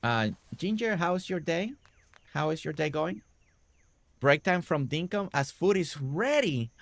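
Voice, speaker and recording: Gravelly, congested man chatting on stream. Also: fairly high pitch, no echo. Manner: relaxed, chatty, talks to viewers by name. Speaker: game streamer. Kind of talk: casual live-stream chat while building.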